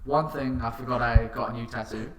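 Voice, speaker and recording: A man's voice: mid-range voice, fairly even intonation, fairly quick. A young man telling stories in casual, matey podcast chat as a guest. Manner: informal, matey storytelling. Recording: roomy sound with clear noise.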